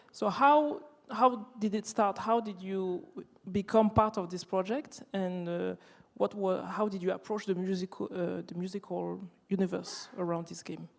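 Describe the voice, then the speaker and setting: A woman's voice: mid-range voice, creaky, darkish tone. Woman interviewing in a hall. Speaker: interviewer, translator. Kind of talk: casual live interview. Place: indoor event hall before an audience. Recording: reverberant hall.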